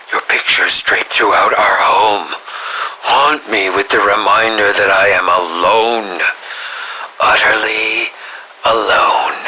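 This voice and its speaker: An actor voicing a melodramatic, sinister film director villain in a video game, drawing out his lines and dropping into breathy whispers. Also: mid-range voice, sharp tone, slight background noise, rough recording. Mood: anguished mourning. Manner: melodramatic, drawn-out delivery with breathy whispered drops. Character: theatrical, sinister mad male film director villain, grieving and menacing. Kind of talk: scripted game villain monologue.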